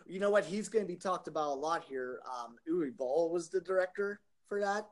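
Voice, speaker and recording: A man with a voice slightly higher than most men's, talking casually on a panel podcast. He explains things in a loose, informal, conversational way. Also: lively intonation, a little fast. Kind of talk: casual chat.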